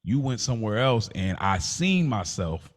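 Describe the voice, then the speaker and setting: A man with a lowish voice speaks as a podcast co-host in a casual, slangy style. He takes a roundabout path through his points, thinking aloud as he goes. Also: very animated, moderate pace.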